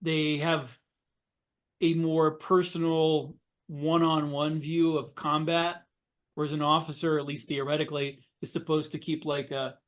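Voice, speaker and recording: Higher-pitched middle-aged man chatting. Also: little pitch movement, measured pace. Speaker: podcast co-host and novelist. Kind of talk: casual explanatory chat.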